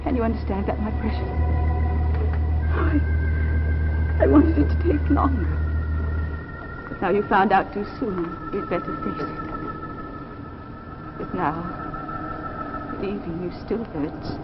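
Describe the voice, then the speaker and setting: An actress playing an anguished, loving but resolute wife in a 1950s television drama, speaking haltingly and emotively. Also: female voice, mid-range voice, loud music behind the voice, close to an everyday voice. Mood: sorrowful resolve. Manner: halting, emotive, repeats phrases. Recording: noisy, muffled 1950s broadcast recording.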